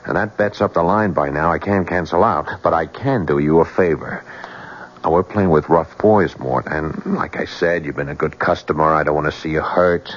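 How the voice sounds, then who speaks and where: Smug crooked bookie, very low voice, breezy slangy patter, old radio drama, muffled recording. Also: male voice, moderate pace. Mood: confiding, pushy. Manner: breezy, slangy, smooth-talking. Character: slick, crooked bookie, a smug male con artist. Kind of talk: 1970s radio drama acting.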